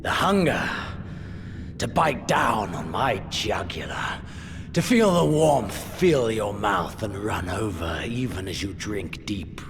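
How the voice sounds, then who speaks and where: A grandiloquent warlord villain from a video game, hungry for the hunt and aristocratic in bearing. He speaks very slowly and theatrically, savouring each phrase, with wide dramatic swings in pitch. Noise and music sit behind the voice. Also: sing-song, creaky, exaggerated voice. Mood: hungry, intense.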